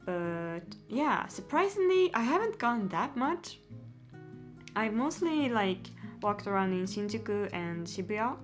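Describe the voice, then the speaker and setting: Young girl rambling to camera, giggly, lots of likes, soft guitar music under it. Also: mid-range voice, sing-song, slow. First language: Swedish. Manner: chatty, giggly, lots of "like" and "yeah". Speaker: vlogger. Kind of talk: casual Q&A chat to camera.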